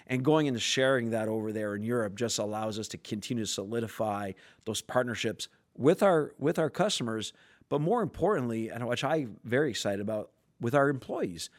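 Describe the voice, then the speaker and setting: A man's voice: mid-range voice, lively intonation, fairly quick. A man guesting on a podcast as a sales executive, talking about his company in an earnest, enthusiastic way. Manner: enthusiastic, earnest corporate talk. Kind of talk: podcast interview answers.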